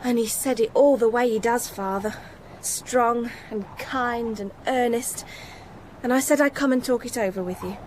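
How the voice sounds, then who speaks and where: A woman acts a young working girl in a radio drama. Her fairly high voice is earnest, loving and gently pleading, over faint background noise. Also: normal speed, lightly acted, poor audio. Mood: hopeful, tender. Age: young adult. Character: earnest, loving young working-class daughter, hopeful despite poverty.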